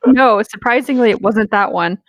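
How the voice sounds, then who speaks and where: A woman's voice: on the high side, moderate pace, no echo, poor audio. A woman co-hosting a casual chat with a flippant, joking manner and some swearing.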